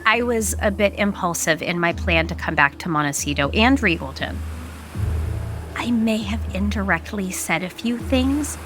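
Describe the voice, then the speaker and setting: A woman's voice: medium pitch, expressive, normal speed, close to an everyday voice. Woman playing a remorseful, serious businesswoman over music. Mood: regretful, worried. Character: remorseful businesswoman worried for her brother. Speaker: actor in audio soap opera. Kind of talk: naturalistic soap opera dialogue. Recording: studio drama with music underneath.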